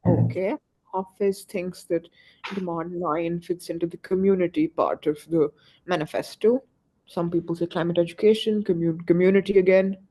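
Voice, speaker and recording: A young male student presenting a climate quiz and reading out answers in a steady, explanatory way. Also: high voice, normal speed, low-quality recording. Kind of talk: presenting an interactive activity.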